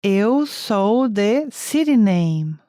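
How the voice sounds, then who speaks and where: A woman speaks very slowly with a mellow, dark tone. She pronounces each word carefully and deliberately, repeating it for learners. The recording is close and dry. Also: medium pitch, sing-song, dry recording. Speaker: native-speaker example voice. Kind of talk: slow model pronunciation for learners.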